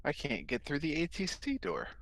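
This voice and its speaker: A man's voice: a little high, huge pitch swings, normal speed, gravelly, fairly bright tone. A man chatting casually as a player on a game stream.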